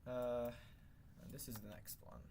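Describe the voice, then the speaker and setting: A man's voice: medium pitch, little pitch movement, measured pace, noisy background. A young man whose first language is Hungarian, chatting casually on a live stream while he works, rambling and correcting himself often with many fillers. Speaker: livestreamer.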